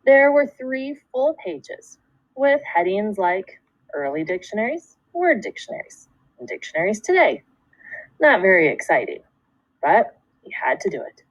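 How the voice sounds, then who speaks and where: A woman teacher reading a children's book aloud, with expressive storytelling and deliberate phrasing. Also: medium pitch, dry recording, dull audio. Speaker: second grade teacher.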